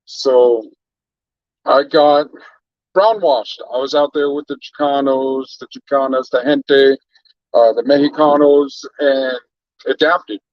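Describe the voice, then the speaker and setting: A man's voice: fairly high pitch, dry recording, lo-fi sound. A man from Canada hosting a casual livestream chat, laid-back and rambling as he greets viewers by name. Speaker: livestream host.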